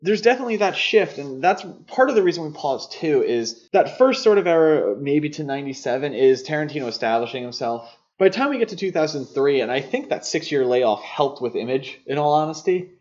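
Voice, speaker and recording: A man co-hosting a podcast, discussing films conversationally in a measured, analytical and opinionated way. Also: fairly high pitch, expressive, a little mellow, dull audio, a little hiss or hum. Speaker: podcast co-host.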